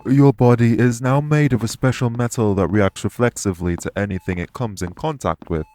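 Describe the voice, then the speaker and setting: A man voicing a calm, courteous winged elf in an audio roleplay, speaking formally and patiently to reassure the listener. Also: medium pitch, a little fast, lightly acted. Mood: measured, explanatory.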